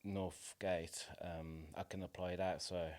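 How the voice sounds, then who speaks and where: A man's voice: low voice, little pitch movement, takes it slowly, a little mellow. A man in his forties speaking as a podcast guest in reflective, casual interview chat, with a hesitant, informal manner. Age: 40s. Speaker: podcast guest, playwright and actor.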